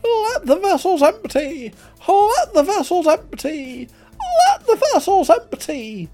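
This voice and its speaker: A man voicing an over-keen male eccentric in a comic story, chanting and talking with loud, insistent energy. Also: very high-pitched, lively intonation, a little slow, faint music behind, voice pushed up. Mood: fervent chanting.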